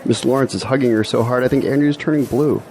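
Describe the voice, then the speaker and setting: Dry young male graduate, medium pitch acted. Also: steady tone, fast talker, darkish tone, natural voice, poor audio. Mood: joking. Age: young adult. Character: joking, self-deprecating young male jobless graduate. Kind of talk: scripted audio drama dialogue. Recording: faint outdoor background noise.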